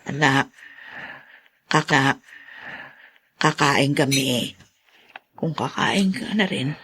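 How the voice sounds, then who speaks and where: A remorseful older mother acted by a woman in an audio drama. The voice is husky and worn, and she speaks haltingly and contritely, as someone seeking to make amends. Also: a bass voice, a bit bright, exaggerated voice. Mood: concerned.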